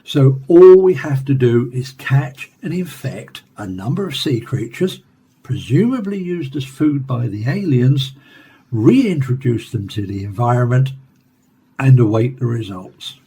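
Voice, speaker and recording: A man playing a renowned professor interviewed in a science fiction radio play, explaining research in a measured, scripted way. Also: medium pitch, expressive, mellow, a little hiss or hum. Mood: assured, formal.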